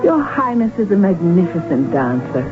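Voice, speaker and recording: Arch countess, muffled old radio. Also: female voice, fairly low pitch, very animated, moderate pace. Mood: arch, playful. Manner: formal, arch, precise diction. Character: witty, outspoken countess from an impoverished noble house. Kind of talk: radio drama acting. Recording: muffled old radio broadcast, music behind. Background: music.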